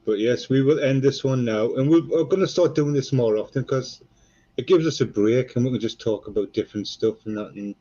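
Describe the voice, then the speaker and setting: A man with a Geordie accent, co-hosting a relaxed live stream and chatting casually, swearing freely and rambling between jokes. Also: medium pitch, a little fast, lo-fi sound. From North East England.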